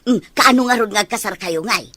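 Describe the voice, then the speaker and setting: A woman acting a doting, excitable middle-aged mother in a radio drama, fussy, teasing and full of exclamations. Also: mid-range voice, brisk, lightly acted. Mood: overjoyed.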